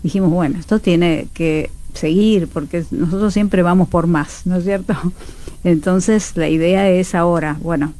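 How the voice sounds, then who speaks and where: A woman from Argentina talks as a guest in a radio interview. Her voice is warm and relaxed, set a little low, with a Rioplatense accent. She explains her work in radio drama in a conversational way. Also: a little slow.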